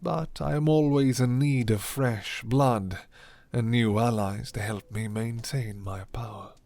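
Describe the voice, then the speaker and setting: A man voicing a suave, commanding vampire lord in an ASMR roleplay, with formal, breathy, half-whispered menace. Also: mid-range voice, unhurried, a little mellow. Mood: silky, intrigued.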